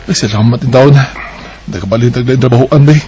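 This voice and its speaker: A man's voice: medium pitch, a little fast, mellow, soft background music, natural voice. A man with a Bisaya accent playing a weary, plain-spoken young labourer in an amateur radio drama. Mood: weary, complaining.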